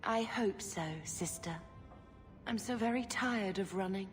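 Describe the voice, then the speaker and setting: A woman's voice: medium pitch, measured pace, close to an everyday voice. Woman acting a wistful young sister, reverberant. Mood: weary, nostalgic. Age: young adult. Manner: sincere, wistful. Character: gentle, weary young sister, loyal and wistful. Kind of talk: dramatic video game voice acting. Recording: long reverb, faint music behind.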